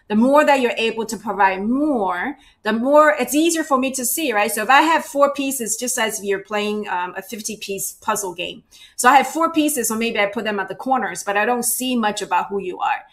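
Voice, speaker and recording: A woman's voice: a little high, normal speed. Chatty woman trainer, keeps asking "right?". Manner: conversational, checks in with frequent "right?" and "you know". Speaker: cultural awareness trainer. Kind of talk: virtual workshop presentation. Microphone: video call.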